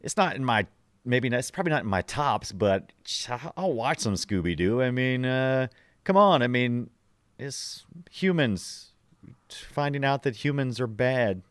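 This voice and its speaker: A man in his forties hosting a podcast, chatting casually and nostalgically and telling stories in an animated way. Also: mid-range voice, measured pace, no room sound, studio quality. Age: 40s. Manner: animated storytelling with acted-out quotes. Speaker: podcast host.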